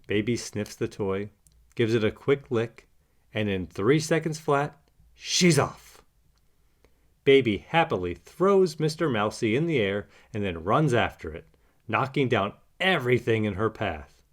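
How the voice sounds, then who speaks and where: A man narrating a children's picture book in an animated read-aloud style. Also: lowish voice, measured pace. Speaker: storybook narrator.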